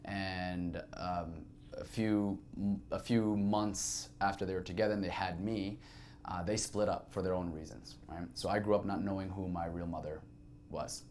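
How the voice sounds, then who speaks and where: Deep-voiced man telling his life story. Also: fairly even intonation, moderate pace, darkish tone, a little hiss or hum. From Saudi Arabia. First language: Arabic. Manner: reflective, conversational, many fillers. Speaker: podcast guest. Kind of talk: candid personal storytelling.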